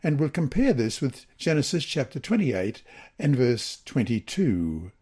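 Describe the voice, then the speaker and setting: A man reading a scripted Bible lesson and scripture passages in a measured, deliberate way. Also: medium pitch, sing-song, warm tone, breathy edge. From Queensland, Australia. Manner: measured, deliberate read-aloud delivery. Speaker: lesson reader.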